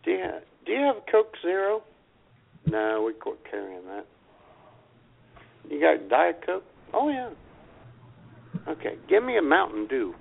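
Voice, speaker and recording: A man's voice: fairly high pitch, very animated, measured pace, creaky, long pauses. A man co-hosting a talk show, telling casual comic stories and acting out exchanges with customers. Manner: acts out customer exchanges as he talks. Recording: thin phone-like lo-fi sound.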